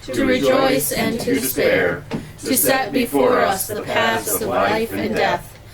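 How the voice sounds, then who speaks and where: A woman leading worship, reciting a creed in measured phrases. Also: fairly high pitch, creaky, over loud music. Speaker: worship leader.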